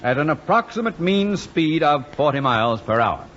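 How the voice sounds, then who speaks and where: An actor playing a pompous, short-tempered middle-aged school principal who huffs and sputters, in old-time radio sitcom style. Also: male voice, on the high side, animated, normal speed, fairly bright tone. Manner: pompous, huffy, sputtering when crossed. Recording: dull, noisy vintage radio recording.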